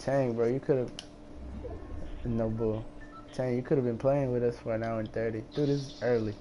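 Mellow-toned young man, bossy gamer talk. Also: mid-range voice, fairly even intonation, normal speed. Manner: slangy, profane, bossy. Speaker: video game streamer. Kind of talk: casual team gaming chat. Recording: noisy game voice chat.

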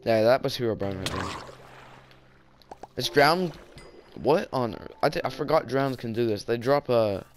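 A man's voice: mid-range voice, lively intonation, moderate pace, pauses often, faint music behind. A young man with a Southern US accent, rambling and joking through casual gameplay commentary while tired. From southern United States. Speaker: gaming YouTuber.

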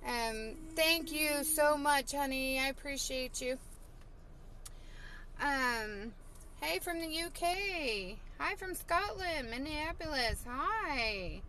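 A woman's voice: high voice, expressive, slow pace, pushed and bright, a little hiss or hum. A woman in her thirties chatting casually on a live stream from a parked car, reading viewer comments with lots of fillers, recorded on a phone at arm's length. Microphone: phone held at arm's length.

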